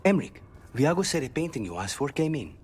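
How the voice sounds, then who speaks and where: An actor playing a dry-witted, brooding assassin in a fantasy game, understated and deadpan. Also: male voice, fairly high pitch, a little fast, breathy edge. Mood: dry, wary.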